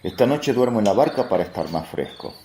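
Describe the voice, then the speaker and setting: An actor playing a gruff, plain-spoken fisherman apostle in a religious radio drama. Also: lowish voice, sing-song, brisk, dark tone, slight background noise. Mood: gruff, matter-of-fact.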